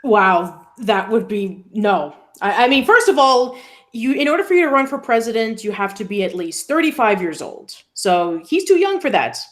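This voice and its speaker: A woman's voice: a little high, very animated, normal speed. A woman co-hosting a livestream, reading donations and giving wry, short reactions. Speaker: livestream co-host. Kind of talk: casual livestream chat.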